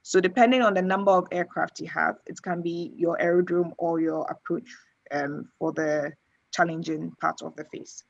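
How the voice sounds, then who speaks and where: Woman, expert panellist, lower-pitched, hesitant explanatory delivery, dull recording. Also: fairly even intonation, normal speed, vocal fry. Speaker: air traffic controller answering questions.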